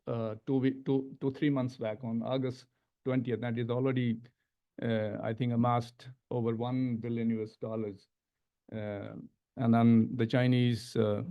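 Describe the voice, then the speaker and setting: A middle-aged man with an Indian accent giving a panel presentation as an academic, halting and repeating words as he stresses each new point. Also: medium pitch, dull audio. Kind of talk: panel presentation, semi-scripted lecture. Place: medium-sized indoor conference room. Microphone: gooseneck table microphone.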